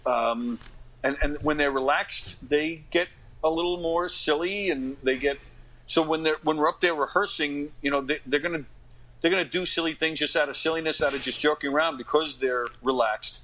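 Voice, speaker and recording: Bright, forceful American man in his fifties. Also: on the high side, normal speed, poor audio. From United States. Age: 50s. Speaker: guest, actor and comedy dinner theater director. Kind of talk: casual interview talk.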